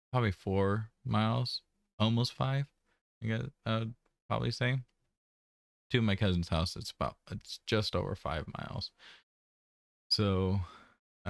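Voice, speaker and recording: Low smooth guy doing a casual vlog update, kinda hesitant and rambly, dry sound. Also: dry recording. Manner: rambling, hesitant, trailing off mid-thought. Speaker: vlogger. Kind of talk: casual personal update to camera.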